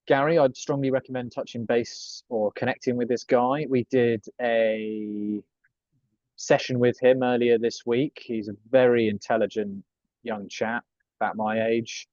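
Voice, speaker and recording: A man's voice: on the low side, darkish tone, dry recording, muffled recording. A young man coaching a group on personal branding, chatty and jokey with frequent swearing and asides. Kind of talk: casual group coaching talk.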